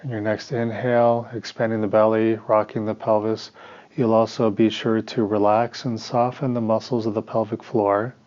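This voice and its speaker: A man leading a guided meditation as a teacher, giving measured instructions in short phrases with pauses between them. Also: fairly low pitch, darkish tone, lo-fi sound.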